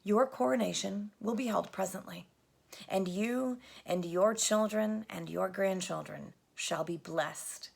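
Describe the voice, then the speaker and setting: A woman voicing a kindly, solemn great lion king, speaking in a grave, measured, hushed way. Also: medium pitch, creaky, husky, heavily acted.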